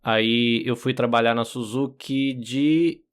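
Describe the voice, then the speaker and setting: Um homem brasileiro de uns quarenta anos, convidado de um bate-papo, falando relaxado e brincando consigo mesmo, com sotaque paulista neutro. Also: male voice, medium pitch, takes it slowly, clean tone. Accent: neutral São Paulo Portuguese. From Brazil. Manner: relaxed, self-joking storyteller. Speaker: guest. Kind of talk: casual interview chat.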